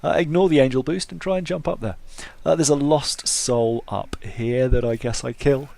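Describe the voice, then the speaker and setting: A man's voice: mid-range voice, steady tone, normal speed, gravelly, darkish tone. A man giving casual playthrough commentary with wry, self-deprecating humour. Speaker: gaming video host.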